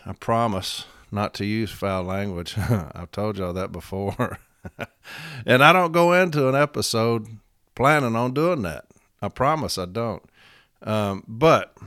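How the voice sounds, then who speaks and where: A middle-aged man, hosting a casual podcast, voice hoarse and worn out, talking bluntly and rambling with many fillers. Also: fairly low pitch, fairly bright tone, no room sound, clean studio sound. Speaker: podcast host.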